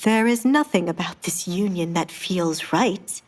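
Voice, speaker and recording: A woman's voice: lowish voice, measured pace. Woman voicing a wary, muttering male priest. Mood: grumbling. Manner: muttering, dry, reproachful. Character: wary, exasperated, well-meaning male priest.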